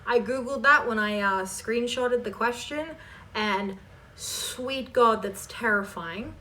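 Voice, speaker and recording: A woman's voice: on the high side, takes it slowly, pushed and bright, distant mic, slight background noise. A woman hosting a casual question-and-answer chat for her viewers, informal and emphatic as she reads questions aloud and answers them.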